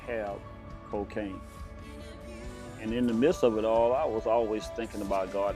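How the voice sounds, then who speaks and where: A man with a fairly low voice tells his own story as a testimony. He speaks reflectively, in halting phrases, with faint music behind him. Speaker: church member giving testimony. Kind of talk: personal testimony.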